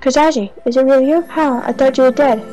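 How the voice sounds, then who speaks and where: A determined young lioness heroine of a cartoon fan dub acted by a woman. Her voice is fairly high and feisty, set over clearly audible music. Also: fairly even intonation, fast, dark tone, lo-fi sound, lightly acted. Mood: wistful. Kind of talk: animated fan dub acting.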